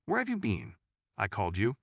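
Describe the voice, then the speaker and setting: A man's voice: medium pitch, huge pitch swings, brisk, vocal fry, fairly bright tone, dry recording, studio quality, heavily acted. A man voicing an anxious, nervous woman who is the heroine of a crime story. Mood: anxious, pleading.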